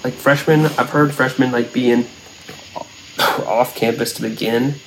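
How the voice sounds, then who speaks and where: A man's voice: medium pitch, fairly even intonation, unhurried. Young guy rambling along in casual chat, lots of likes and ums, bit of hiss behind. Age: young adult. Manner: rambling, many fillers and restarts.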